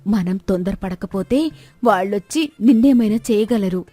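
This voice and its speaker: A woman's voice: medium pitch, moderate pace, warm tone, dry recording, close to an everyday voice. Woman reader doing a bossy village schemer, persuasive, dry close sound, faint music. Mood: bossy, scheming.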